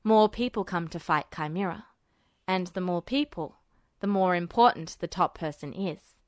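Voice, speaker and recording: Woman as a lower-toned, casual teenage boy. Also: normal speed, no echo, studio quality, natural voice. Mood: musing. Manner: casual, musing.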